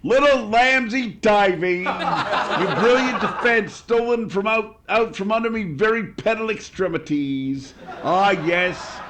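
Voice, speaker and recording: A man's voice: high voice, slow pace, background noise. A man playing a pompous, grumbling barrister with florid speech in an old-time radio comedy.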